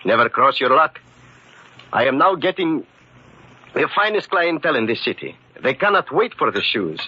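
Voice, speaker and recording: An actor playing an anxious, hard-working immigrant husband in old-time radio drama style, emphatic and pleading. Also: male voice, mid-range voice, big pitch swings, normal speed, husky. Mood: apologetic, earnest. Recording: muffled, noisy old radio recording.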